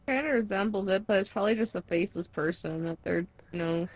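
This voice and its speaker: Husky young woman, dull lo-fi sound. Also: mid-range voice, fast talker, dry recording. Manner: offhand, filler-heavy. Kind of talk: casual chat.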